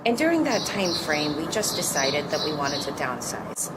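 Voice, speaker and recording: A woman's voice: low-pitched, sing-song, normal speed, heavy background noise. A woman in her fifties, originally from Turkey, earnestly answering interview questions as a coffee company co-founder, often restarting her sentences. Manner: reflective, earnest, with frequent restarts.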